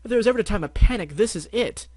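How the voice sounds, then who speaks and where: A woman's voice: lowish voice, lively intonation, a little fast, darkish tone, no echo, pitched above the performer's own voice. Man doing a fretful dramatic unicorn lady. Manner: fretful and theatrical.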